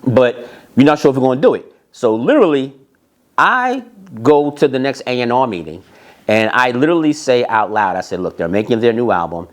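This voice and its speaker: A middle-aged American man telling stories from his career in a casual, emphatic way, repeating phrases for emphasis. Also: medium pitch. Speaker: host, former record label A&R representative. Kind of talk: casual autobiographical storytelling.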